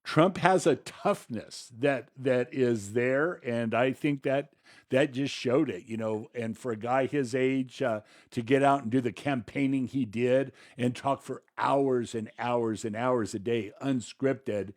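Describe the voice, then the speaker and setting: A man's voice: mid-range voice, a little slow. A man co-hosting a podcast, giving informal, conversational political commentary in loosely strung phrases. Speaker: podcast co-host.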